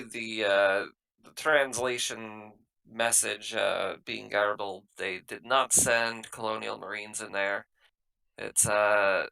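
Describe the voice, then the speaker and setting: A man playing a tabletop roleplaying game, explaining things in a halting, matter-of-fact way as he improvises in character. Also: fairly low pitch, creaky, bright, forceful tone, clear, resonant tone, rough recording.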